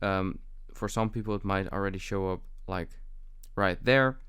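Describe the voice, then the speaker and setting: A man's voice: low-pitched, moderate pace, a bit bright, clear, resonant tone. A young man hosting a casual how-to walkthrough, speaking in an improvised, hesitant way with frequent fillers. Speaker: tutorial host.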